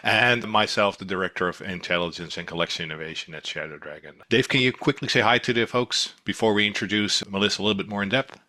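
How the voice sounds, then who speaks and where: A man's voice: on the low side, fast talker, few pauses, pushed and bright. A middle-aged man with a Dutch accent hosting a podcast interview, eager and enthusiastic. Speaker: podcast host. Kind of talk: casual interview chat.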